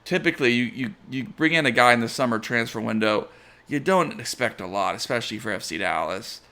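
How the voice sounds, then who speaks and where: A man co-hosting a casual soccer podcast, weighing points in a measured, analytical way. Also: medium pitch, animated, pushed and bright. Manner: measured, analytical, reads listener questions. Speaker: podcast co-host. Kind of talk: casual sports chat.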